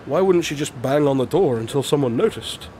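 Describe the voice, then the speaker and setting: A man's voice: mid-range voice, animated, brisk, warm tone, slight background noise, close to an everyday voice. A man acting in a radio drama as a brilliant, aloof detective, speaking formally and precisely. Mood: confident, probing. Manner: precise, formal, matter-of-fact. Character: brilliant, aloof male detective explaining his deductions.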